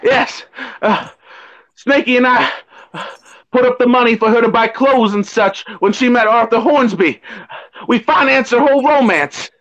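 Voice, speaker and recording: A man's voice: very high-pitched, normal speed, long pauses, a bit bright. An actor playing a jumpy, germ-phobic small-time crook in an old-time radio comedy, whining and protesting in tough-guy slang. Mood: defeated. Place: small room indoors. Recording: muffled home webcam audio.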